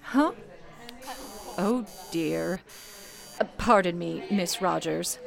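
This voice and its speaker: A woman's voice: fairly low pitch, slow, gravelly, full character voice. An actress playing a polite, motherly chief switchboard operator, courteous and solicitous, in a period radio play. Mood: courteous, busy.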